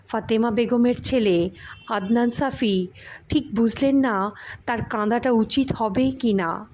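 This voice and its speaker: A woman narrates an audio story in a high voice that swings widely in pitch. She reads slowly and dramatically, giving the scripted prose plenty of expression. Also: darkish tone.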